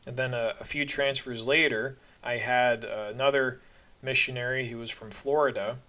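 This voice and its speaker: A man's voice: mid-range voice, steady tone, normal speed, bright, forceful tone. A man recounting his own experiences in a candid, conversational storytelling style with a wry sense of humor. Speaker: podcast narrator. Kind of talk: casual personal storytelling.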